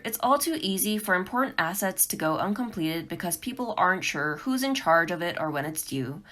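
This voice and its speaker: Woman presenting with very lively intonation. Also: mid-range voice. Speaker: presenter of a beginners' tutorial talk. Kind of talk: scripted instructional narration.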